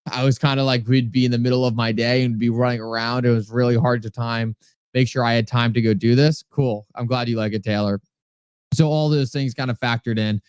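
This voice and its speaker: A man with a New England accent runs a live stream in an easygoing, conversational way, answering comments and thanking viewers one by one. His voice is smooth and resonant, and it is recorded close and dry with no room sound. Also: medium pitch, fairly even intonation, a little fast, a little mellow, no room sound. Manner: chatty, reads viewer comments aloud and thanks them by name. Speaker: livestream host. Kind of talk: casual livestream talk answering chat.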